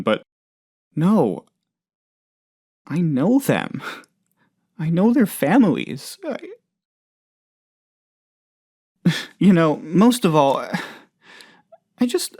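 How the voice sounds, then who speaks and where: A man playing an earnest, self-doubting but loyal boss in a video game, talking in a halting, heartfelt, rambling way. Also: on the high side, a little mellow. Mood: anguished, determined. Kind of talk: expressive game dialogue, conversational monologue.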